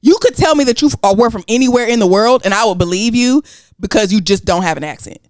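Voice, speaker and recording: A woman with a Southern US accent co-hosting a podcast, talking in an animated, slangy, cursing way. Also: fairly high pitch, quick pace, dry recording, clean studio sound. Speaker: podcast co-host. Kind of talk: casual chat.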